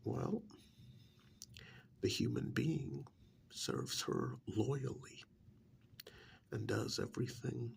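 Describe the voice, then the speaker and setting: A man playing a smug, menacing agent of a vampire in a soft-spoken ASMR roleplay, with a breathy, gravelly half-whisper and deliberate, condescending delivery. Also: mid-range voice, slight background noise, exaggerated voice. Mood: patient, explaining. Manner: slow, deliberate, condescending, with pauses. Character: smug, menacing vampire's male agent interrogating a captured hunter.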